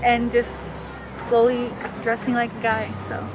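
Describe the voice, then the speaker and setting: Hesitant eighteen-year-old, slightly high voice. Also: female voice, completely flat delivery, a little slow, poor audio. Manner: hesitant, with many ums. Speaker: interviewee. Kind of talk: casual interview answers. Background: background music.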